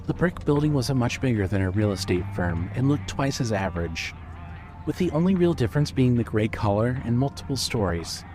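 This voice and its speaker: A man narrating scripted fiction as a storyteller, in a measured manner. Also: mid-range voice, very animated, mellow, faint music behind.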